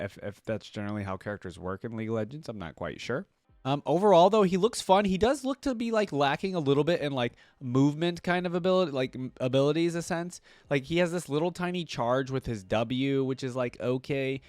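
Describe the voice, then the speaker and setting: Guy doing casual reaction commentary, thinking out loud, medium pitch, dry room sound. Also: no room sound. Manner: rambling, thinking aloud. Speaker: reaction video host.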